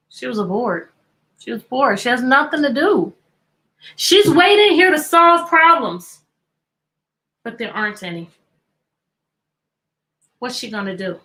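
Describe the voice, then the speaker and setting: A woman's voice: fairly high pitch, pushed and bright, rough recording. A woman teacher hosting an interactive children's read-aloud, telling the story expressively and putting repeated questions to her listeners.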